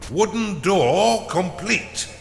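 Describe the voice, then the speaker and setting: Slow, theatrical evil game advisor. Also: male voice, high voice, expressive, gravelly, roomy sound, long reverb tail, soft background music, exaggerated voice. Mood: announcing. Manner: pompous, sardonic, theatrical. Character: sardonic dark dungeon mentor, theatrical evil advisor. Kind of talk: scripted game narration.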